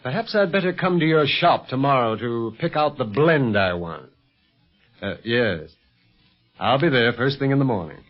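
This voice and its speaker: A man acting a confident American secret police agent in a 1930s radio serial. His delivery is lively and expressive yet self-assured. The sound is muffled, like an old broadcast, with music in it. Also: medium pitch, moderate pace, close to an everyday voice. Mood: measured.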